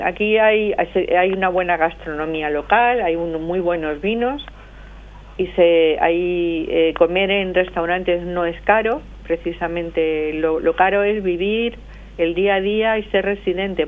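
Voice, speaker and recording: A middle-aged woman with a Madrid Spanish accent comes through a thin, tinny phone line. Her voice sits a little low. She explains things at length, with lots of pauses. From Madrid, Spain. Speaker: association spokesperson, phone guest. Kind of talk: phone-in radio interview.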